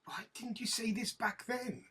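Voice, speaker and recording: An actress in an audio drama playing a desperate, pleading British police detective, husky and halting with emotion. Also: female voice, a little high, fairly even intonation, sharp tone, full character voice. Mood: accusing.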